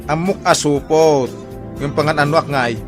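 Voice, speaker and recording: A man's voice: on the high side, moderate pace, lightly acted. A man playing an easygoing, teasing older brother in an Ilocano Filipino radio comedy drama, with playful exaggeration. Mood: exasperated. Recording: loud music behind the voice.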